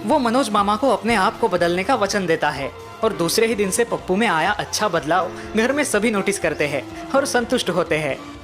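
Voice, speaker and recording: A woman's voice: mid-range voice, animated, normal speed, music in the background. A woman giving scripted narration of a children's moral story.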